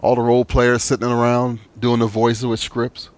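A man's voice: mid-range voice, monotone, moderate pace. A man with a Southern US accent hosting an interview in a casual, informal and appreciative way. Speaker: podcast host and interviewer.

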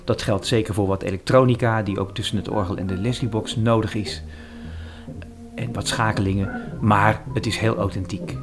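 Male musician interviewee, low voice, Netherlands Dutch, casual anecdotal talk, rephrases as he goes. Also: steady tone, moderate pace, music in the background.

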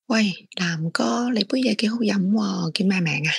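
A woman's voice: mid-range voice, moderate pace, creaky, breathy edge, dry recording, clean studio sound. An actress playing a nosy, blunt female friend in an audio drama, prying with questions and exclamations. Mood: prying, incredulous. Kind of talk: Cantonese audio drama acting.

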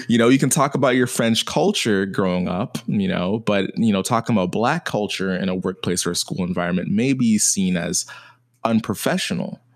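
A young adult man speaking in a thoughtful, conversational monologue, often pausing with "you know". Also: fairly low pitch, dry recording, studio quality. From Jamaican heritage, grew up partly in America. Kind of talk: personal reflective monologue.

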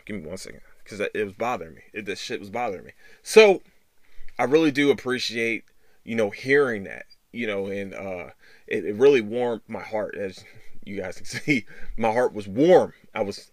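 A man hosting a casual solo podcast, talking in a rambling, halting way with repeated words and loose swearing. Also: mid-range voice, fairly bright tone, no room sound, clean studio sound. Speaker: podcast host.

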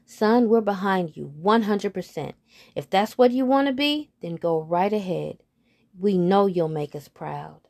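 A woman voicing kind frog parents who speak warmly and reassuringly. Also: mid-range voice, expressive, a little slow. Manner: warm and reassuring.

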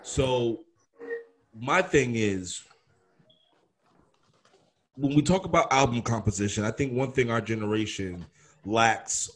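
A man's voice: mid-range voice, takes it slowly, pauses often, a little hiss or hum. A man co-hosting an interview, asking long, thoughtful, winding questions.

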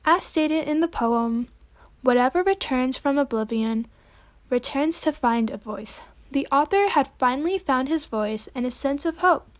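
A woman's voice: sits high, lively intonation, a little slow. A young woman hosting a student poetry podcast, reading her commentary in an earnest, read-aloud way. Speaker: podcast host.

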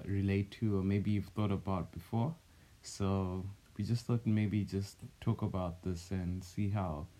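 A man's voice: low voice, mellow. A man from Zimbabwe co-hosting a casual podcast chat, speaking earnestly and thinking aloud with fillers. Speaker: podcast co-host.

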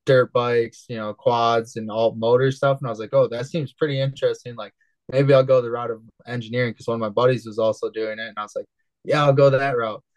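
A man in his twenties from West Michigan, a guest on an interview show telling his story in a relaxed, rambling way with many fillers. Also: mid-range voice, fairly quick, no room sound, low-quality recording. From West Michigan, USA. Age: 20s. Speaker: guest, cannabis entrepreneur.

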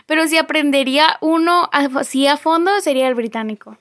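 A woman's voice: sits high, a little fast, fairly bright tone. A young woman, chatting casually, rambling and correcting herself as she goes. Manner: rambling, self-correcting, laughing. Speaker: co-host, sister. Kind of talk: casual chat.

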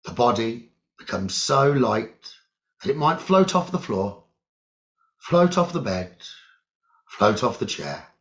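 A man with a mid-pitched voice leads a guided meditation very slowly. He gives calm, deliberate instructions in short phrases and leaves long pauses between them. The recording sounds a little dull and lo-fi. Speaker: meditation teacher.

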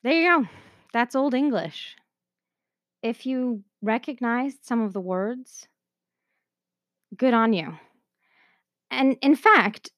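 A woman's voice: high-pitched, no room sound, clean studio sound. A woman presenting a scripted educational narration in a conversational way, as the host of a history lesson.

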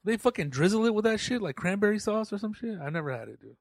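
Fast-talking slangy SoCal man, dry sound. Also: high-pitched, animated, no echo. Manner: slangy, profane, easygoing, agreeable. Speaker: podcast co-host. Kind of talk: casual podcast banter.

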